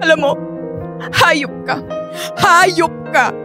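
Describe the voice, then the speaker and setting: An actress playing a hurt, insistent, childlike wife in a Tagalog radio drama, pleading and confrontational, with a Filipino accent. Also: female voice, extremely high pitch, takes it slowly, music in the background. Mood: wounded, bitter.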